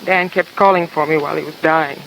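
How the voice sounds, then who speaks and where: A woman's voice: sits low, steady tone, fairly quick, creaky, fairly bright tone. An actress playing a bitter, despairing young woman in a 1950s radio drama, speaking in short, weary, dramatic phrases. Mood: despairing, resigned. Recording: noisy vintage radio recording.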